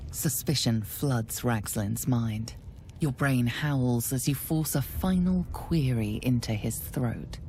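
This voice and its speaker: A woman narrating a dark fantasy video game in a grave, measured, ominous storytelling manner. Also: very deep voice, a little hiss or hum, exaggerated voice. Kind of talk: scripted second-person game narration.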